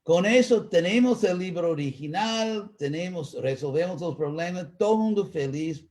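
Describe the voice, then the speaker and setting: A man with an American accent, a native English speaker, teaching in a live online talk and answering questions, pausing to search for words. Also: high-pitched, darkish tone. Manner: slow, searching for Spanish words with pauses. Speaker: spiritual teacher.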